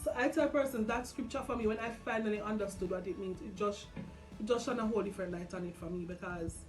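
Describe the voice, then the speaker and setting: A Jamaican woman talks quickly and emphatically about faith in a casual way, mixing Patois into her English. She sits back from the microphone, with music underneath. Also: medium pitch. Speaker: video host. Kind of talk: casual faith testimony among friends. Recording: roomy, distant mic, music underneath.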